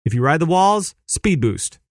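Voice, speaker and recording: A man hosting a casual gaming podcast, animated and excitable, laughing often as he explains things. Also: on the high side, moderate pace, no room sound, studio quality. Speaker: podcast host.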